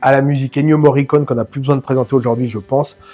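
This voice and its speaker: A man's voice: mid-range voice, fairly even intonation, rapid-fire, dark tone, faint music behind. Chatty French film-channel host, a man. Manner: chatty, addresses viewer informally, self-mocking asides. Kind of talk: casual film analysis to camera.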